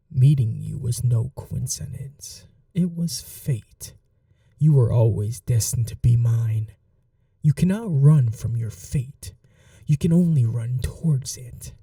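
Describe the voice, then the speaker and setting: A woman playing a cruel, possessive vampire mistress in an ASMR roleplay, speaking slowly in breathy half-whispers with menacing tenderness. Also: very deep voice, dark tone, no room sound, studio quality, distant mic, full character voice. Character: cruel, possessive vampire mistress, silkily taunting her betrothed captive. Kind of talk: ASMR audio roleplay, dramatic acting.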